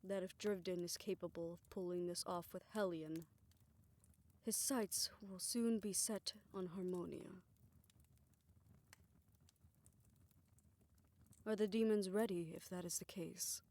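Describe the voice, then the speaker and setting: Casting: actress for a tired, loving older woman, lowish voice, soft intimate delivery, thoughtful pacing, for romantic audio roleplay. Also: fairly even intonation, normal speed. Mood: apprehensive, thoughtful. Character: weary, affectionate older woman confiding in her partner.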